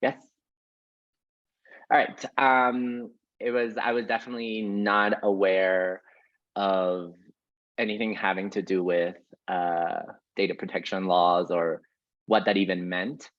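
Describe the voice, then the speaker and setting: A man's voice: deep voice, flat delivery, slow. A man speaking as a guest in a casual, earnest, reflective interview style. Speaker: guest playwright and actor. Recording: very roomy, dull lo-fi audio.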